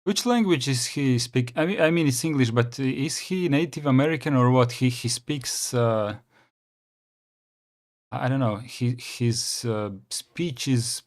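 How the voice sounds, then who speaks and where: A man with a Croatian accent chats through a reaction video in a casual, unscripted way. He talks haltingly, full of fillers and false starts, at a middling pitch. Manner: hesitant, many fillers and restarts. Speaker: channel host reacting to a video.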